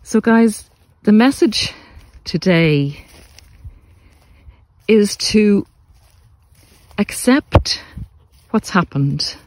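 A man giving a motivational spiritual talk as a podcast host, speaking earnestly and deliberately, with repeated phrases for emphasis. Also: high voice, animated. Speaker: podcast host, narcissistic abuse recovery coach.

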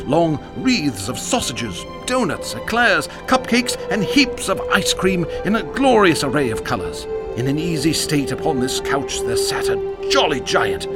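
Man, radio drama narrator, vivid storytelling, slightly high voice, clear music underneath.

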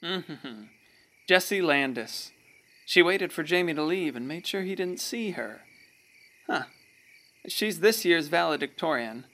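A gravel-edged, slightly high male voice narrates a radio play as a folksy local observer. He muses wryly and in a neighborly way about the townsfolk. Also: expressive, unhurried, long pauses, pushed and bright, full character voice. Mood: wry musing.

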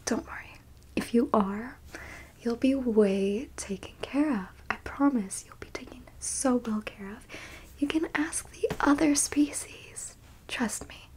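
A woman playing a smug, teasing alien abductor in an ASMR roleplay, speaking softly in breathy half-whispers with condescension. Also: on the high side, measured pace, close to an everyday voice, rough recording. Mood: coolly menacing. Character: smug, teasing female alien abductor examining a captured specimen.

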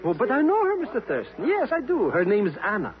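High, swooping, cocky comic sidekick. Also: male voice, huge pitch swings, a little fast. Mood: cocky, flirtatious. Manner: cocky, stammering bluster. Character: boastful, bumbling male comic sidekick, would-be ladies' man. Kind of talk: 1950s radio comic acting. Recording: dull, very noisy old radio recording.

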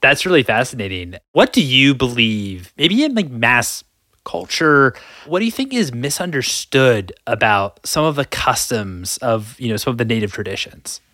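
A man's voice: medium pitch, sing-song, normal speed, bright, forceful tone, dry recording, studio quality. A man hosting a podcast, reading a scripted intro and asking friendly questions. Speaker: podcast host. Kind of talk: scripted intro and casual interview. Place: Los Angeles.

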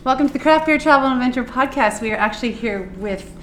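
A woman's voice: high voice, animated, quick pace, a bit bright. A woman co-hosting a casual podcast, bubbly and giggly, often joking and cutting in. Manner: giggly, bubbly, jokey interruptions. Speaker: podcast co-host. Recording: roomy with faint noise.

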